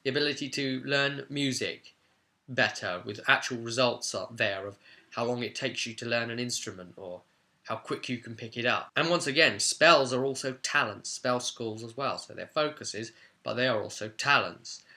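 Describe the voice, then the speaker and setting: A man presents an informal tutorial in the role of a game master. His voice is mid-pitched, with a bright, forceful edge. He talks conversationally, leaning on key words as he explains how things work. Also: normal speed.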